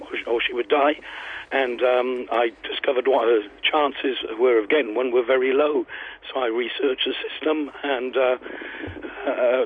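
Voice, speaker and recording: A hoarse man who is losing his voice, speaking haltingly as a guest over the phone on a radio talk show. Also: medium pitch. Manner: halting, many ums and restarts. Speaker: phone-in guest, lobby group founder. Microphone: telephone. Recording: tinny phone line.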